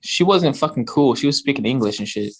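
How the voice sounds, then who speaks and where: A man's voice: medium pitch, expressive, a little fast, husky. A man co-hosting a casual podcast, blunt and calling his friend bro. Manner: blunt, says bro a lot. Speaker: podcast co-host.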